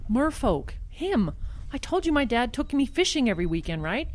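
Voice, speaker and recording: A woman's voice: fairly high pitch, sing-song, moderate pace. A woman playing a breezy, teasing, unflappable best friend in an audio drama, with a dry, matter-of-fact manner. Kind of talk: naturalistic audio drama acting.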